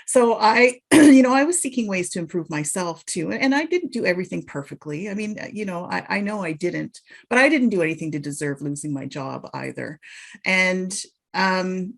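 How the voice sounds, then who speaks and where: A woman's voice: on the low side, animated, moderate pace, creaky. A woman guest on a podcast telling her own story, earnest and passionate, often restarting her sentences. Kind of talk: personal storytelling in an interview.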